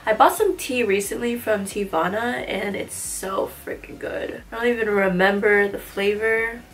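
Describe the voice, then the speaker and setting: A woman's voice: medium pitch, normal speed. Young woman vlogger, a bit nasal from a cold, casual slangy chatter, talking close to the camera in a small room. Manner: chatty, slangy and self-teasing. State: stuffy nose from a cold. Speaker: beauty vlogger. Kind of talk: casual makeup tutorial chat.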